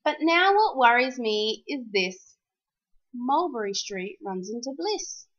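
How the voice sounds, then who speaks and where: Female storyteller for picture-book verse: high voice, very lively sing-song delivery, dull lo-fi sound. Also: slow. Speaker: storyteller reading a children's picture book aloud. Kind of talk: expressive children's storybook read-aloud.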